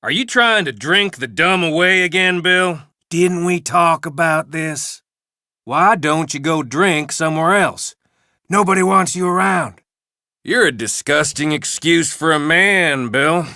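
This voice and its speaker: An actor playing a mean Old West outlaw in a video game, mocking and insulting his gang with drawn-out taunts. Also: fairly high pitch, sharp tone, dry recording, clean studio sound. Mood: contemptuous. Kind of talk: video game character barks.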